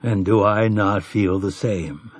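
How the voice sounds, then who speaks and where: A man voicing a weary, courtly older gunslinger who speaks in old-fashioned formal phrases, with a husky voice. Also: a little high, unhurried, dry recording, studio quality, voice pushed up, lightly acted. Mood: gentle.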